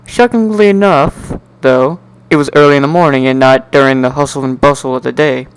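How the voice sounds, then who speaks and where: A man giving an amateur dramatic reading of a first-person story in an animated, wry, sardonic way. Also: medium pitch, brisk, clean tone, poor audio. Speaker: amateur narrator.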